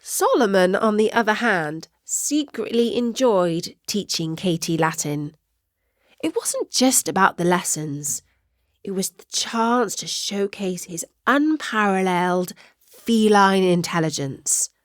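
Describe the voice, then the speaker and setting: A woman reads a children's story with measured, expressive delivery. Her pace is slow and her pitch sits in a middle range. The recording is dry and close. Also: dry recording. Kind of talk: scripted children's story narration.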